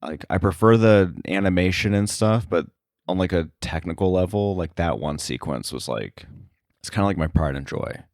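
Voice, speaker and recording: A man's voice: sits low, normal speed, darkish tone, no echo, studio quality. A man talking casually as an interview guest, explaining his sound editing work in a thoughtful, somewhat hesitant way. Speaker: podcast guest, sound effects editor.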